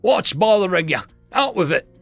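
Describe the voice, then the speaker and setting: A bossy young orc girl with a gruff accent, as a man puts her on, her voice raised higher and firm. It has a bit of gravel and bounces lively, in a dry close sound with faint music. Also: normal speed, no room sound, heavily acted. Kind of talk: storybook narration.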